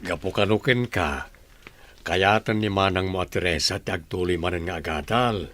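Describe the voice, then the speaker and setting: A kindly middle-aged father played by a man in a village radio drama. His voice is fairly low, and he speaks like a parent giving advice. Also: moderate pace, fairly bright tone, no echo. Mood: warm, earnest. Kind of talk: Ilocano radio drama acting.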